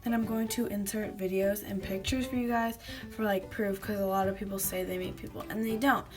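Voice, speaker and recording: A teenage girl vlogging casually to a webcam in her bedroom, telling stories with lots of fillers and giggles, her voice slightly hoarse from having lost it. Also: mid-range voice, fairly even intonation, a little fast, music in the background. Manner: chatty, giggly storytelling with many fillers. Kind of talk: casual chat to camera. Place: small bedroom indoors.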